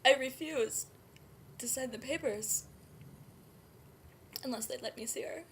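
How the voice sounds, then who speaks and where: Woman acting high-voiced grieving teenage young mother, noisy recording. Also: full character voice. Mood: grieving, quiet. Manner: halting, confessional, often breathy and hushed. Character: grieving, guilt-ridden 19-year-old young mother. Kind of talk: dramatic stage monologue. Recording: noisy amateur room recording.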